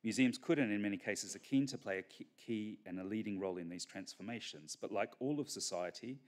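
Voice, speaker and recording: A middle-aged man speaks at a podium mic in a large conference hall, his voice low and deep. He lectures in a measured academic way, often pausing on fillers and restarting his phrases. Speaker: keynote speaker. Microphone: podium microphone.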